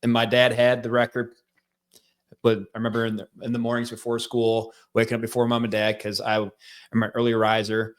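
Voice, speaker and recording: A man with a Michigan accent, a guest telling his life story in a relaxed, rambling way with lots of fillers. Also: medium pitch, brisk. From central Michigan. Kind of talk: casual interview storytelling.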